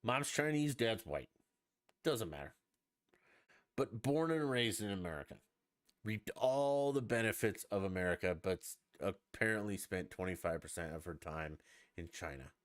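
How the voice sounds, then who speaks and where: A man's voice: on the low side, animated, moderate pace, dry recording, studio quality. A man hosting a podcast, talking alone in a blunt, profane, emphatic rant. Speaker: podcast host. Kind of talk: opinionated solo podcast rant.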